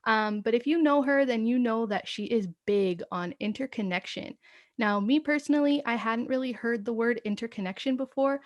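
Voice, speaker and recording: A woman's voice: a little high, normal speed, dry recording, dull audio. A woman hosting a casual podcast interview, bubbly and enthusiastic, laughing often between her words. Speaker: podcast host.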